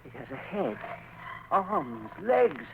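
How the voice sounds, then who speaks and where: A man's voice: fairly high pitch, very animated, slow, creaky, heavily acted. An actor playing a frail, stubborn, distraught old scientist father in a 1940s radio drama, agitated and pleading. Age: older. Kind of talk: 1940s suspense radio acting. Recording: tinny, noisy old radio recording.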